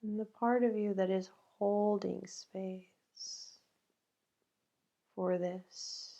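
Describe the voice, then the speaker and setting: A woman leading a guided meditation in a gentle, halting way, with long pauses between phrases. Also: medium pitch, fairly even intonation, gravelly, dull audio.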